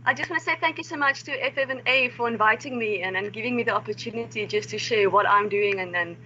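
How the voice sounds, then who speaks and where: A woman's voice: a little high, fast talker, pushed and bright. Young South African woman on a call. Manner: friendly, informal, with some restarts. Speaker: guest speaker, wildlife research assistant. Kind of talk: online seminar talk. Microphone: video call. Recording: slightly dull online call audio with faint noise.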